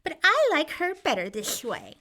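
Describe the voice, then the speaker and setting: A woman's voice: high-pitched, wildly swooping pitch, moderate pace, pushed and bright, heavily acted. A woman playing a small, bitter teenage boy necromancer in an audio drama, grandiose and menacing in a theatrical way. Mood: smug, bitter.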